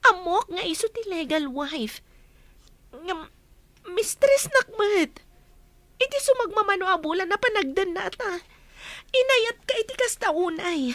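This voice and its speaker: A woman's voice: extremely high pitch, lively intonation, unhurried, long pauses, fairly bright tone, no echo, exaggerated voice. A woman with an Ilocano accent playing a clingy, lovesick mistress in a radio drama, plaintive and melodramatic. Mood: hurt, pleading.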